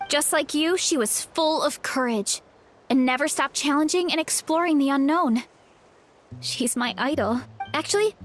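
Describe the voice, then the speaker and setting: A woman playing an earnest, easygoing young village girl in a video game, plain-spoken and a little hesitant. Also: sits high.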